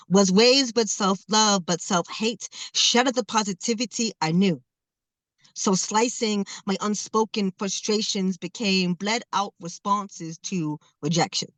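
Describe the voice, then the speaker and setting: A woman's voice: mid-range voice, no echo, low-quality recording. A woman around forty, a guest who performs a rhythmic, emphatic spoken word poem and then talks casually with laughs. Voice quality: says she stutters, not heard. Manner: rhythmic, emphatic poetry delivery with laughs between phrases.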